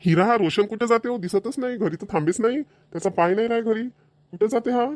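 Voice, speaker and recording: A middle-aged cartoon village father, voiced by a man grumbles at his idle son. He talks quickly, in a rather high voice. The sound is dry and close. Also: no room sound, lightly acted. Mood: grumbling. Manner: rural Marathi dialect, grumbling, repetitive. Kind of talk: cartoon voice acting.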